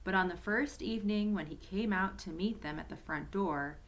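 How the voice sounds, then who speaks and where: A woman's voice: medium pitch, moderate pace, low-quality recording. A woman reading a children's story aloud as a storyteller, expressively and addressed to young listeners.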